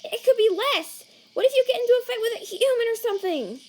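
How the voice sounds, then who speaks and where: A woman voicing a nervous female monster scientist in a fan comic dub, earnest and anxious, with a halting stammer. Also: on the high side, fairly even intonation, natural voice. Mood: alarmed, scolding. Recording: chirping crickets and night ambience behind.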